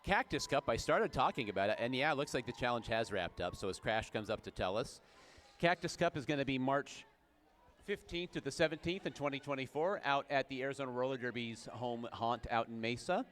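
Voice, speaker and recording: Lively, joke-cracking man announcing roller derby. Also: medium pitch, a little fast, slight background noise. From United States. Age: 40s. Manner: excitable, jokey, rising to shouts during play. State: tired low voice after a show. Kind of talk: live sports commentary.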